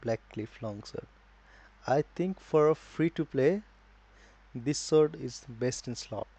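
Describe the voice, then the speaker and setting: A man with a Nepali accent chatting casually as a live game streamer, halting as he thinks aloud. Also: medium pitch, mellow.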